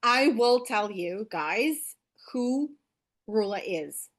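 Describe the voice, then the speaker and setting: A woman's voice: on the high side, expressive, slow pace. A woman hosting an interview over a video call, asking questions in an encouraging, admiring way. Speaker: podcast host.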